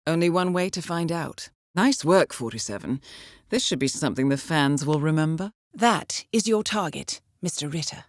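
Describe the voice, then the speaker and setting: Composed, low-pitched female spy handler. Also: lively intonation, normal speed, dry recording, studio quality. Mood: dry, wry. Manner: composed, formal, understated, drily ironic. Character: composed, dryly witty female intelligence handler to an assassin. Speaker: mission handler. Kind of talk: scripted video game mission briefing.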